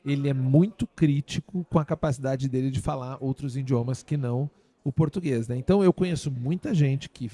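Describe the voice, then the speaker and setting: A man's voice: medium pitch, fairly quick, dark tone, slightly rough. A man from Brazil hosting a podcast, chatty and jokey, asking long winding questions. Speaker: podcast host. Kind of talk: casual podcast interview. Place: studio.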